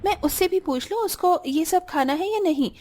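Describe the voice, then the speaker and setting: A woman's voice: extremely high pitch, lively intonation, moderate pace, warm tone. A woman playing a haughty, lazy rich young mother in a cartoon family story. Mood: coaxing.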